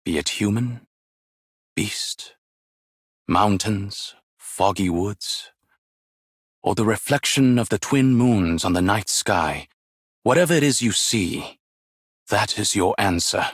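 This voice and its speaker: An actor playing a wise, weary, ageless martial arts master in a game, with measured, formal, poetic delivery and a husky voice. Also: male voice, on the low side, animated, no echo, clean studio sound, heavily acted. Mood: calm, philosophical. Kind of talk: scripted game character voice lines, solemn and poetic.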